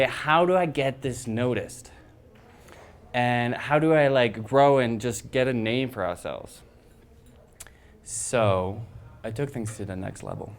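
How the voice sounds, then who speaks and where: A young man who founded a design agency, telling a rambling, animated story to a crowd on a handheld microphone in an event room. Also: mid-range voice, clear, resonant tone. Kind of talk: casual presentation to an audience.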